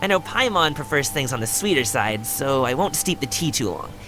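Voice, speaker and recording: Man playing amiable young man, boyish, earnest, casual, mid-pitched, animated intonation, anime game, music behind. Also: a little fast, natural voice. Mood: friendly. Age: young adult. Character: friendly, attentive young man, awkward about family. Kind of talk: anime-style game voice acting.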